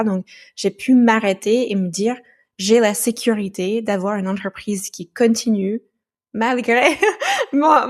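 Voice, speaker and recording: Woman from the United States, halting. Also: medium pitch. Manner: thoughtful, halting, with frequent restarts. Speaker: podcast guest, founder of a training company. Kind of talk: casual podcast conversation.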